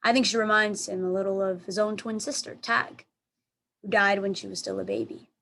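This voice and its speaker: A woman teacher reading a children's storybook aloud in expressive narration. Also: medium pitch, a little fast. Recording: clear background noise.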